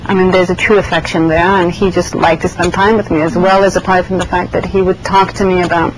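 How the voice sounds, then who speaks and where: A woman who grew up in Iran, being interviewed and recalling her past in a reflective, hesitant way, with many pauses and restarts. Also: lowish voice, little pitch movement, noisy background. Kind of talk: oral history interview.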